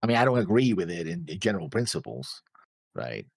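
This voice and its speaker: A man co-hosting a reaction show, chatting casually and rephrasing as he argues his points in a halting way. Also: sits low, very animated, creaky, breathy edge, no room sound. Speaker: co-host of an anime reaction show. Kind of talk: casual discussion.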